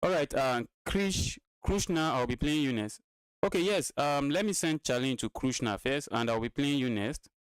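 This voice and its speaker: Smooth-voiced man in his twenties, repeating himself. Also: mid-range voice, normal speed, dry recording, poor audio. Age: 20s. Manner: talks to viewers, repeats his phrases. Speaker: chess streamer. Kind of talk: casual live-stream chess commentary.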